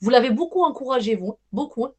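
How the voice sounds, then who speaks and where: A woman leads a live medium session over a video call. Her voice sits higher than most, and she talks in a hesitant, reassuring way, often repeating herself. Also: animated, quick pace, a little mellow, slightly rough. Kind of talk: live medium reading with callers.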